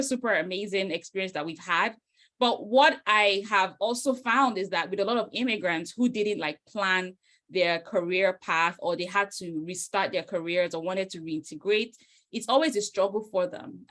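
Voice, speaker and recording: A woman's voice: on the low side, fairly bright tone. A middle-aged woman from Nigeria hosting an online information session over a video call, enthusiastic and full of "you know" fillers. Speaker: host, career coach. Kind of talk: webinar introduction.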